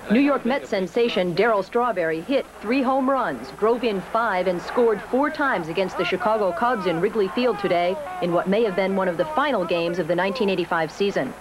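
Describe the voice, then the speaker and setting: A woman's voice: mid-range voice, a little fast, hardly any pauses, poor audio. Woman network news reporter over music. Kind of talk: scripted news report narration.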